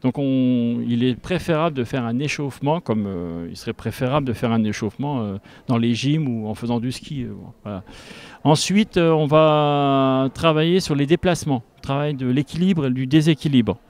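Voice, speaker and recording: A man in his fifties speaking as an instructor in an interview, explaining step by step and haltingly into a handheld microphone. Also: medium pitch, a little mellow. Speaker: tai chi instructor. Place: large school gymnasium with a group behind. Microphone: handheld reporter microphone.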